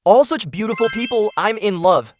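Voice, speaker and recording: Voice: medium pitch, moderate pace, music in the background. A playful, theatrical narrator giving comedic scripted travel commentary as host.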